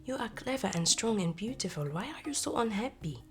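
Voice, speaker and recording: A kind young storybook heroine, voiced by a woman, speaks softly and with dignity. Her gentle lines move expressively up and down, with faint music behind. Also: medium pitch, sing-song, a little fast, voice pushed up, lightly acted. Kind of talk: storybook character voice.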